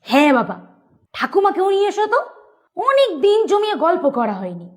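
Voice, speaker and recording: A woman's voice: extremely high pitch, huge pitch swings, brisk, exaggerated voice. A woman voicing a sweet, coaxing young bride-to-be, a daughter in a cartoon, with a girlish manner. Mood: hopeful, coaxing. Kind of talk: cartoon voice acting.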